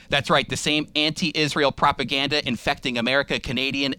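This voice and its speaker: A man's voice: medium pitch, pushed and bright, clear, resonant tone. A man hosting a faith-based radio show, earnest and conversational, often restarting his sentences as he introduces and interviews a guest. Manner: earnest, with frequent restarts and fillers. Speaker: radio show host and teacher.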